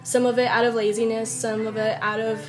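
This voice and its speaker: A woman's voice: a little high, flat delivery, a little fast, low-quality recording. A young woman talking candidly in a casual personal vlog, rambling a little and poking fun at herself. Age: young adult. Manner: candid, self-deprecating, rambling. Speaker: YouTube vlogger. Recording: background guitar music. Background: soft guitar music.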